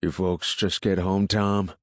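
A man's voice: sits low, flat delivery, takes it slowly, no echo. Man performing grumbling rural farmer, ill-tempered, suspicious, folksy grammar, storybook dialogue, dry close sound. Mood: nosy, gruff. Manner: folksy ungrammatical country speech, grumbling. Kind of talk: audiobook character dialogue.

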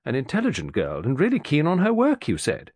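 Man voicing a large, steady, soothing housekeeping sister. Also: a little high, fairly quick, breathy edge, no echo, voice pushed up, heavily acted. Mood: placating. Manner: soothing, loyal, dry. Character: large, formidable, calm woman keeping house.

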